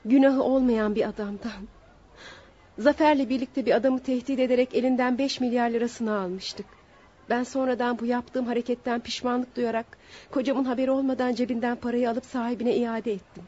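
Actress as accused wife, high voice, emotional and pleading, radio courtroom drama, dull lo-fi recording. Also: female voice, steady tone, normal speed, mellow, natural voice. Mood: subdued remorse. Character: defiant, remorseful young woman on trial for killing her husband.

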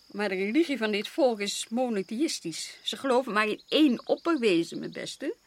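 An actress playing a colonial official's reproachful, exasperated wife in a radio play, chiding and articulate. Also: female voice, medium pitch, animated, normal speed. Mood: pointed.

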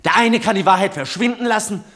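A defiant teenage boy, high-voiced and intense. He accuses like someone outraged by injustice, in the theatrical manner of 1960s German radio drama. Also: fast, fairly bright tone. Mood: bitter outrage. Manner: accusing, intense, breaking into whispers.

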